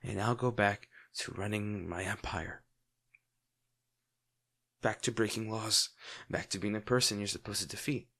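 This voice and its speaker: Man acting brooding, low-voiced lovesick villain. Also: fairly even intonation, fairly bright tone. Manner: brooding, earnest, halting dramatic pauses. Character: lovesick male villain, brooding, protective, torn over wounded hero. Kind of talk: intimate dramatic audio roleplay monologue.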